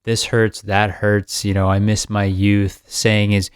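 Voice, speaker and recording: A man's voice: lowish voice, flat delivery, measured pace, clean tone, no room sound, studio quality. A man in his mid-thirties, co-hosting a podcast in an upbeat, rambling chat. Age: 30s. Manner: rambling, upbeat, laughs often. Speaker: podcast co-host. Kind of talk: casual chat.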